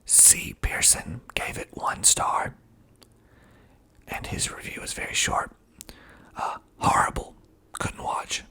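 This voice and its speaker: A man speaking in a hushed, breathy, husky ASMR whisper, reading aloud and commenting in a deliberate way. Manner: slow, deliberate, hushed delivery. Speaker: filmmaker reading reviews of his movie.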